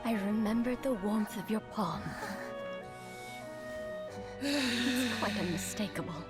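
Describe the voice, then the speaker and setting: A woman voicing a kindly, knowing elderly lady in a video game, tender, grateful and deliberate. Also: on the high side. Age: older. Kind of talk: video game cutscene dialogue. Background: loud music.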